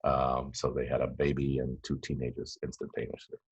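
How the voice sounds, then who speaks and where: A man in his fifties, originally from Tanzania, speaking as a podcast guest in a halting, reflective way with many fillers and restarts. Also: rumbling low, little pitch movement, slightly rough. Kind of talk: casual interview chat.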